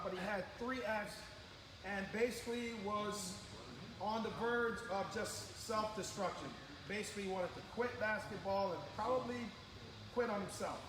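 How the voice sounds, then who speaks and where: A man from Barbados, a sports coach, talking earnestly and with emphasis in a live interview. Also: sits high. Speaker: sports coach and academy owner. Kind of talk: live interview, motivational talk. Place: indoor sports gym. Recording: echoey hall, clear background noise.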